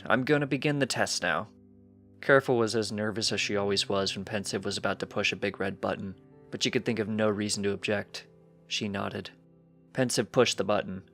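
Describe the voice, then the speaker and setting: A man's voice: fairly low pitch, gravelly, fairly bright tone. A man reading scripted fiction as a storybook narrator. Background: background music.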